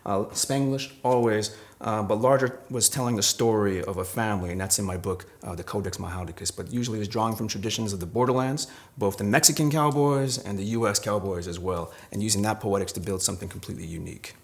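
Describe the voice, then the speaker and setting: A man's voice: on the low side, fast talker, few pauses. A man from Arizona, a poet, reading and talking about his own work. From Arizona, United States. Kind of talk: poetry reading and commentary on own poem.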